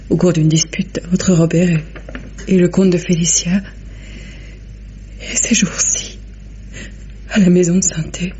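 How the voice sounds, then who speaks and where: A woman's voice: lowish voice, warm tone, close to an everyday voice. An actress as a frightened, weakened woman victim. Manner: faint, halting, plaintive. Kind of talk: old French radio drama acting. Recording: dull old radio recording, faint noise.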